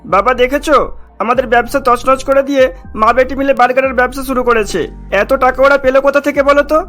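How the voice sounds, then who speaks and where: High-pitched hot-tempered cartoon husband, played by a man. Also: steady tone, fairly quick, a bit bright, soft background music. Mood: resentful, scheming. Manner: harsh, melodramatic. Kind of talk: cartoon story character voice.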